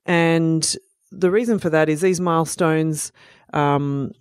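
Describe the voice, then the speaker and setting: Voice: mid-range voice, steady tone, slow pace, smooth, no echo, clean studio sound. Speech pathologist teaching. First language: Italian. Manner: explanatory, with frequent "um" and "you know" fillers. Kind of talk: educational presentation.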